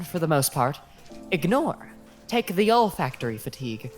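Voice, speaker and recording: Woman as composed female professor, low voice, measured lecturing over faint music. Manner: measured, didactic. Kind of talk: scripted lecture in a comic dub.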